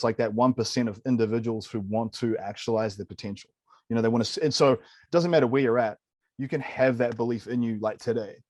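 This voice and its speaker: A man's voice: medium pitch, darkish tone, no room sound. A man in his late thirties from New Zealand with a New Zealand accent, a nutritionist guest telling his story in a candid, enthusiastic way, often saying "man" and "dude". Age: 30s. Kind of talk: casual interview storytelling.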